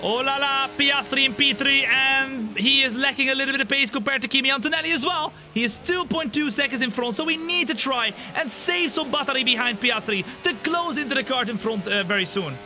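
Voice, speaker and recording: Twenties guy streaming a racing game, high voice, gets hyped on crashes, game music and engines behind. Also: a little fast, few pauses. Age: 20s. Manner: excitable, jumps high when the race action happens, laid-back when chatting. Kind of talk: live game commentary and chat reading. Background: race car engines and game music.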